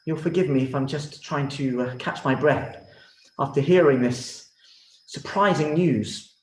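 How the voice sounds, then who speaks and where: A man voicing a respectful storybook rabbi in a measured, formal and polite manner. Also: mid-range voice, a little hiss or hum, close to an everyday voice. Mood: courteous. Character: respectful, courteous male rabbi in a children's story. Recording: roomy, echoing sound.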